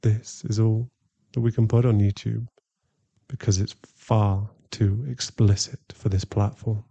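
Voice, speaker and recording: A man's voice: fairly low pitch, level tone, a little slow, vocal fry, warm tone, husky, dry recording, muffled recording. A man who performs ASMR, speaking directly to his listeners in a promotional outro.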